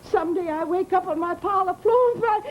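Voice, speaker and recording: A woman's voice: extremely high pitch, fairly quick, highs cut off, slight background noise. An actress playing a nosy, aggrieved widowed landlady with an American accent in an old-time radio horror drama, talking in a garrulous, dramatic way. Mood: accusing, indignant.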